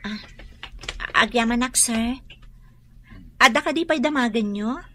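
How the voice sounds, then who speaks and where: A woman's voice: a little high, unhurried, bright, forceful tone. A woman with a Filipino accent playing an earnest, respectful young student in an Ilocano radio drama. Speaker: drama actor.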